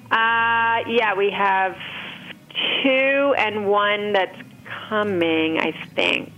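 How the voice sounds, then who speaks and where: A woman's voice: medium pitch, extremely slow, highs cut off, soft background music. A woman speaking as an interview guest in an informal chat, thinking aloud as she answers. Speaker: interview guest, food writer. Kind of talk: casual chat. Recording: clearly noisy background.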